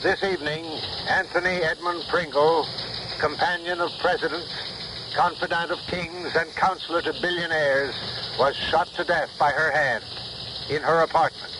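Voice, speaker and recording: An actor playing a grave newsreader in a 1970s radio drama, declaiming a scandal in measured dramatic phrases. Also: male voice, on the high side, steady tone, close to an everyday voice. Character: newsreader gravely announcing a scandalous society murder. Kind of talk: 1970s radio drama acting, news bulletin delivery. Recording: noisy 1970s radio broadcast recording.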